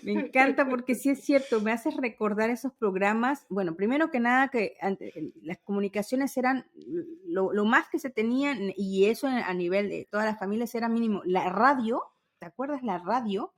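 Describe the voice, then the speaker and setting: A woman's voice: a little high, moderate pace, few pauses. A woman in her fifties hosting a podcast, chatting casually and nostalgically in an enthusiastic storytelling way. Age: 50s. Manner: enthusiastic, storytelling with spoken sound imitations. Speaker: podcast host.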